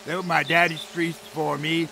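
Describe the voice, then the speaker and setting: An actor playing a suspicious, prickly old farmer in an audio drama, folksy and blunt. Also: male voice, a little high, level tone, moderate pace, creaky, pushed and bright, slight background noise. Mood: defensive, stern. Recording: faint outdoor insect ambience behind.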